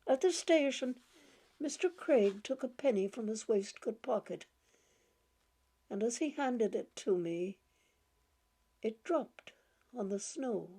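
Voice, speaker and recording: A woman reading a short story aloud in a gentle, halting way, repeating words and laughing softly as she goes. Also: on the high side, lively intonation, breathy edge. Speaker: audiobook reader.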